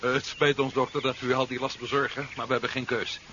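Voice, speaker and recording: A man's voice: medium pitch, level tone, quick pace, sharp tone, lightly acted. Man playing a pragmatic general, muffled noisy tape. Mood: resolute. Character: pragmatic, decisive general on the run. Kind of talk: 1970s Dutch radio drama acting. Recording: muffled, noisy 1970s radio drama recording.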